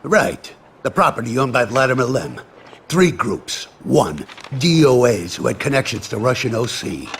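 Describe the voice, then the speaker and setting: A man's voice: mid-range voice, sing-song, moderate pace, a little hiss or hum. An actor playing a blunt, clipped, exasperated police superior briefing his detectives in a noir game. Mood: businesslike, grim. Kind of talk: noir game cutscene dialogue.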